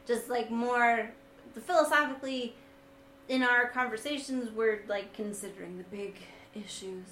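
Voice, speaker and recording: A woman with a high, sweet, gentle-sounding voice, talking casually and reflectively as a co-host, with a wry, self-deprecating manner. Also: measured pace. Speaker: vlogger and co-host discussing their video series. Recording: echoey room, light background noise.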